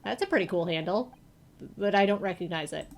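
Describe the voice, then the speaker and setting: A woman's voice: mid-range voice, expressive, fast, a little hiss or hum, natural voice. Woman playing a nerdy, stammering male superfan. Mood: defensive. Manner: stammering, fussy. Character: nerdy, defensive young male superfan, nervous and pompous. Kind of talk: visual novel character voice.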